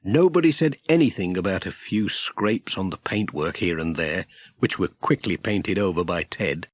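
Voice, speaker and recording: British male children's storyteller: lowish voice, lively intonation, scripted audiobook read. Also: breathy edge, no room sound.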